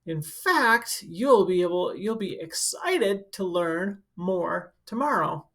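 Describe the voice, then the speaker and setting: Female school counselor, lowish pitch with heavy creak, reading a children's book with chatty explanations. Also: expressive, takes it slowly, a bit bright. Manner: instructive, speaking directly to children. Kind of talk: picture book read-aloud with informal asides to children.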